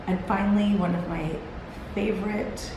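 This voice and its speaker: A woman hosting a solo motivational talk, earnest and encouraging. She sounds gravelly and tired, and rambles with restarts as she talks to a camera set on a timer. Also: mid-range voice, fairly even intonation, normal speed. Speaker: host. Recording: very echoey room with background hiss.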